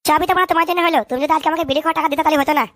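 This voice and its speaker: An actor voicing a hot-headed, boastful cartoon son in a comic dub, blustering, excitable and repeating phrases. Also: high-pitched, rapid-fire, no room sound. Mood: indignant. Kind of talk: comic dialect cartoon dubbing.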